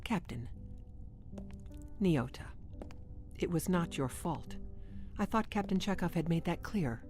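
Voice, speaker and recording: A composed female alien officer aboard a starship, played by a woman in a sci-fi audio drama. Her voice sits slightly low, and she speaks formally, with dry, deadpan logic. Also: slow, music in the background. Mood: gentle, respectful. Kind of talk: science fiction audio drama acting.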